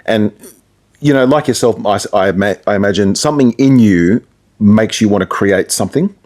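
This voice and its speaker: A man's voice: fairly low pitch, normal speed, darkish tone. A man talking casually in an interview as a radio presenter, explaining things through anecdotes and asides.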